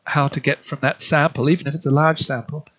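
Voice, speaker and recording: Fifties man, Australian-born, slight German tinge, measured and hesitant interview guest. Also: on the high side, flat delivery, a bit bright. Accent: slight German influence on Australian English. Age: 50s. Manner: thoughtful, hesitant, many ums and restarts. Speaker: guest, literary translator. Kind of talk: interview answers.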